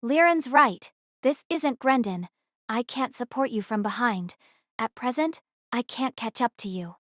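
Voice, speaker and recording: A woman playing a resolute, sincere young female military captain, speaking in an earnest, measured way in an audio drama. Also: a little high, lively intonation, fairly bright tone, no echo, clean studio sound, pitched above the performer's own voice. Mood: solemn, earnest.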